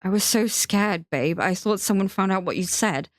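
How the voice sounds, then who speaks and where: A woman voicing a frightened, remorseful young man in love, pleading in a wavering, halting way in an audiobook. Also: on the low side, a little mellow, no room sound, clean studio sound, natural voice. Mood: desperate pleading.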